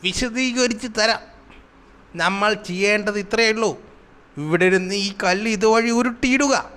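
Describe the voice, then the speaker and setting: A very high, bright cartoon voice for a crafty jungle animal. He grumbles and plots in an animated, exasperated way, acting out schemes like a comic storyteller. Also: clear, resonant tone. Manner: animated, exasperated, explains schemes with sound words. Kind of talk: Malayalam children's cartoon comedy acting.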